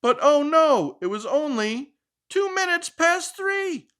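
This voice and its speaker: A man's voice: very high voice, big pitch swings, takes it slowly, a bit bright. A man hosting a children's storytime, reading a picture book expressively with playful, chatty asides to a child. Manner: playful, asking questions and echoing the child's answers.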